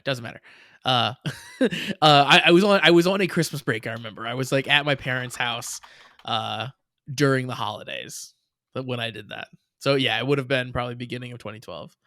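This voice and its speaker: A man's voice: mid-range voice, animated, normal speed, pauses often, pushed and bright, no room sound, studio quality. A young man co-hosting a podcast, chatting in a rambling way with many restarts and frequent laughs. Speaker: podcast co-host. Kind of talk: casual chat.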